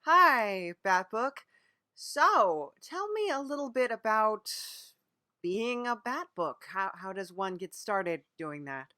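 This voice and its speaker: A woman with a medium-pitched voice hosts a casual comedy podcast interview. She asks her questions hesitantly and with a straight face. The recording is close and dry. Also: sing-song, takes it slowly, sharp tone, no echo.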